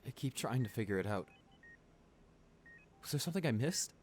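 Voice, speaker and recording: A man performs a rich, devoted client keeping vigil beside his comatose lover in an ASMR roleplay. His mid-range voice is intimate and emotional, pleading in halting phrases. Background noise sits under the words. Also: medium pitch, lively intonation, darkish tone, close to an everyday voice. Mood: wistful, tender. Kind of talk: ASMR boyfriend roleplay, dramatic monologue.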